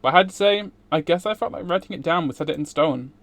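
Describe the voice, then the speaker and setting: A male streamer reads from a visual novel during a livestream. His voice sits a little higher than most men's. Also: quick pace, fairly bright tone, no echo.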